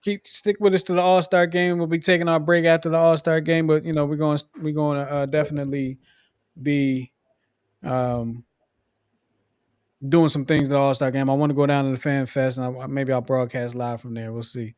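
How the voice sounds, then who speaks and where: A man hosting a sports podcast in a casual, teasing way, his voice a bit worn as he loses it. He sits fairly high for a man, and the sound is dry and close with no room around it. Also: flat delivery, normal speed, a little mellow, clean tone, no room sound. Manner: laid-back, teasing, repeats sign-off phrases. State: losing his voice. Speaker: podcast host.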